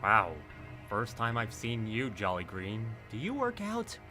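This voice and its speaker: Guy doing a sarcastic thief voice, slow lazy drawl, kinda high and bright. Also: wildly swooping pitch, soft background music, heavily acted. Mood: teasing. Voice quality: sly, mocking drawl. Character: smug sarcastic male thief, adult. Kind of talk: fan audio drama acting.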